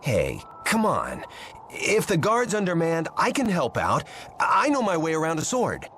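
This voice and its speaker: A man's voice: fairly high pitch, wildly swooping pitch, a little slow, fairly bright tone, slight background noise, full character voice. An actor playing a stout-hearted, plain-spoken townsman in a video game. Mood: protesting. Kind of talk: English video game voice acting. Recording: light music behind the voice.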